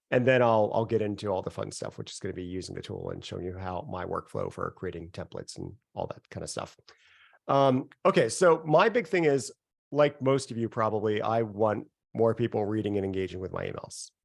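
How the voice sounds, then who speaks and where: A man getting over a cold, with a nasal voice, giving a relaxed, conversational workshop presentation over a video call. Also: fairly low pitch, fairly quick, creaky, no room sound, studio quality. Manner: relaxed and conversational, chuckles at himself. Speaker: software founder presenting a workshop. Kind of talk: casual live webinar presentation.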